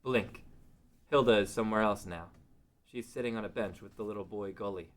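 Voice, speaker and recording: A man's voice: fairly low pitch, fairly quick. A man reading scripted narration as narrator of a table read.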